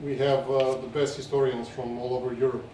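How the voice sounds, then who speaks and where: A man's voice: mid-range voice, flat delivery, brisk, mellow. A man giving unscripted interview answers as a film director, hesitant and repeating words as he explains. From Serbia. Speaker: documentary film director, festival guest. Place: echoey screening venue. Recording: echoey room, distant mic, faint noise.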